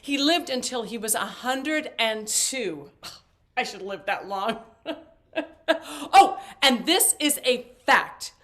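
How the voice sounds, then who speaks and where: A woman's voice: fairly high pitch, animated, slow pace, pushed and bright, exaggerated voice. An actress playing a talkative, flighty, intrusive older mother in a stage play, chirpy and theatrical as she rambles. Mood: rambling, eager.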